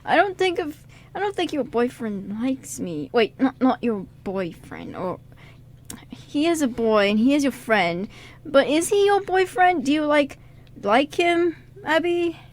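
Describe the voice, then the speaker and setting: Woman playing an excitable high-voiced teenage boy. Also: measured pace. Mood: flustered, apologetic. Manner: stammering, gushing, rambling. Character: excitable, babbling, earnest teenage boy fan. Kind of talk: amateur radio drama script reading.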